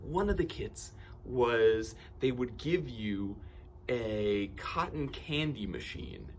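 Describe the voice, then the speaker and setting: A man telling personal stories in an animated, theatrical way, acting out bits of dialogue with excitement. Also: fairly high pitch, slight background noise. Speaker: camp storyteller and science educator.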